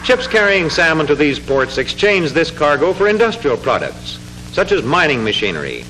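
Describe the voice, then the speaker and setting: Formal 1940s newsreel-style male narrator, lively pitch. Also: noisy background. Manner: formal, measured, declamatory delivery. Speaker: narrator of an educational documentary film. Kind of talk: 1940s scripted educational film narration. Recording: 1940s film soundtrack with music. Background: music.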